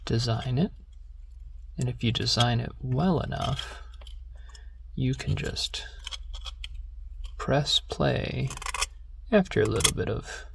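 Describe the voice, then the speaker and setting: A soft-spoken ASMR host talking gently and without hurry, drifting into a whisper, close to the microphone. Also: mid-range voice, expressive, slow pace, gravelly, pauses often, noisy background. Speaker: ASMR video creator and host.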